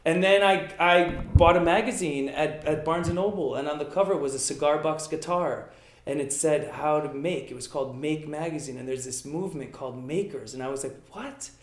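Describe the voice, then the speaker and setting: Man, Italian first language, enthusiastic, lots of fillers, highish voice, echoey room. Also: brisk. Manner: enthusiastic, many fillers like "you know". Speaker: magician guest. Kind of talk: casual interview.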